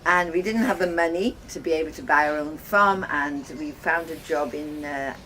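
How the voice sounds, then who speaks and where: An older woman from Wales telling her life story casually, reminiscing in a halting, conversational way. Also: sits low, bright, forceful tone. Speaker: host of guest lodging, farmer's wife. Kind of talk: casual personal storytelling to guests. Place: informal talk to visitors, filmed by a guest. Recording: noisy ambient home video.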